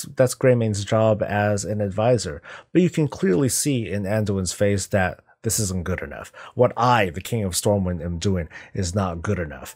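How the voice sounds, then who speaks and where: A man's voice: on the low side, darkish tone, slightly rough. A middle-aged man giving casual, musing reaction commentary as a video host, talking conversationally. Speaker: YouTube host reacting to game cinematics.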